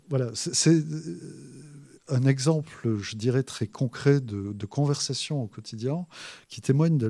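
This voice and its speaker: Author guest, husky gravelly man, halting with lots of pauses, dry sound. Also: mid-range voice, mellow, dry recording. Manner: hesitant, many filler pauses and restarts. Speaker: author discussing his book. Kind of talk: thoughtful spoken explanation.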